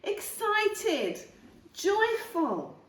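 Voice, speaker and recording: A woman's voice: very high-pitched, wildly swooping pitch, very slow, pitched above the performer's own voice, full character voice. A woman voicing an exasperated storybook dad who has troublesome dogs. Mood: musing. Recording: roomy echo, distant mic.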